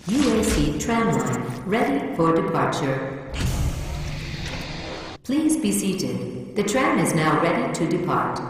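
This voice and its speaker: An actress playing a polite automated tram announcer in a video game, giving formal announcements in clipped phrases. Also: female voice, lowish voice, big pitch swings, slow, pauses often, over music. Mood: calm, polite. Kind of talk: public address announcement in a game. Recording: reverberant hall PA, distant, noisy.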